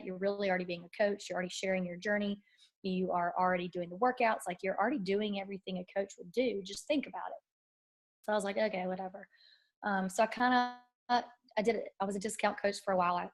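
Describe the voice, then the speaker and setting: A woman, a little nasal as she recovers from bronchitis, telling her story as a fitness coach on a casual team call from her couch at home. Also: medium pitch. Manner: chatty, storytelling, quoting herself.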